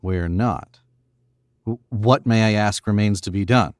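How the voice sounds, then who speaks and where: Low-voiced smug young killer, played by a man, radio drama, dry close sound, cool clipped delivery. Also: a little slow, no room sound, close to an everyday voice. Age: young adult. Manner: smug and controlled, clipped commands. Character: arrogant, cool young male murderer. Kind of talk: 1940s radio acting.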